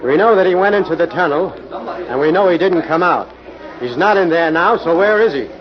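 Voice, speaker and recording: A man's voice: on the high side, animated, brisk, a crowd in the background, natural voice. A man playing a determined, matter-of-fact police inspector in an old-time radio drama. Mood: perplexed, firm. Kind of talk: 1940s radio acting. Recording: muffled old radio recording, faint hiss.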